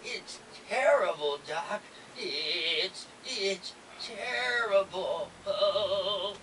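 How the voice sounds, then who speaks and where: A woman's voice: medium pitch, a bit bright, recorded from a distance, a little hiss or hum, rough recording. A woman playing a childlike, simple-minded male helper in an audio drama, naive and whiny. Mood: frightened, wailing. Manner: slow, naive, whimpering when scared.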